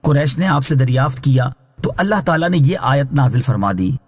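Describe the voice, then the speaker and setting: A man reading scripted Islamic translation and commentary in a measured, formal, instructive way, as a scholar would. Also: medium pitch, lively intonation, husky, soft background music. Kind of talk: scripted religious exegesis narration.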